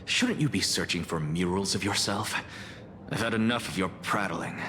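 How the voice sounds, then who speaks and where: An actor playing a proud, sardonic scholar in a video game, dry, theatrical and weary of being teased. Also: male voice, low voice, moderate pace, background noise, close to an everyday voice. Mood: irritated. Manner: dry, haughty, exasperated, theatrical.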